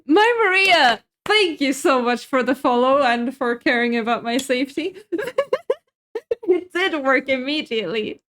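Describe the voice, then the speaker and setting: A young woman with a high, light voice chats with her viewers as she cooks at home. She, laughs easily, and answers chat messages as they come in. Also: fairly bright tone. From Sweden. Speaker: streamer. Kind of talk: casual chat while cooking on stream.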